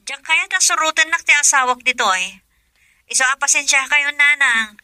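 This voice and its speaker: An actress playing an unhappy young wife who speaks wearily and apologetically in a radio drama. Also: female voice, high voice, normal speed, sharp tone, no echo. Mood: weary.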